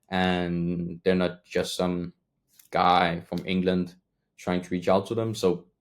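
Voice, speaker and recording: Man, very deep voice, English speaker, casual how-to talk, rambling, corrects himself often. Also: darkish tone. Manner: loose and rambling, frequent "um" and self-corrections. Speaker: agency owner teaching cold email outreach.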